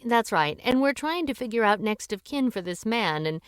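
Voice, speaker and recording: Lady playing a cool shrewd woman, dry wry delivery, lively tone, dead-dry mic. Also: fairly high pitch, moderate pace, clean tone, no echo, lightly acted. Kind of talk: amateur radio play acting.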